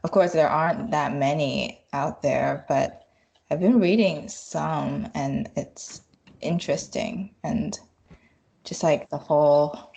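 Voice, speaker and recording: A woman's voice: deep voice, slow, vocal fry, mellow. A woman speaking as a podcast guest on an online call, hesitant and searching for words in casual conversation.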